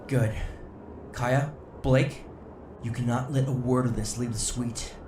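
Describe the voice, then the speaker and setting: An actor playing a cautious senior commander in a sci-fi audio drama, measured and authoritative. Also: male voice, medium pitch, fairly even intonation, lightly acted. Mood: stern. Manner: measured, firm. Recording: echoey room, clear ambient noise.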